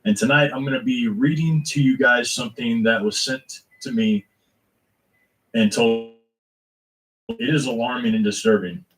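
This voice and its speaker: A man's voice: mid-range voice, normal speed, pauses often, poor audio. A man hosting an online show, addressing his viewers earnestly before reading them a letter. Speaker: channel host.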